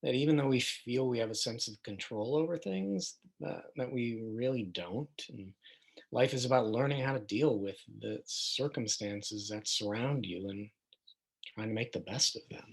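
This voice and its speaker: A man's voice: medium pitch, normal speed, vocal fry, husky. A man playing a reflective, accepting figure in a conversational documentary theater monologue.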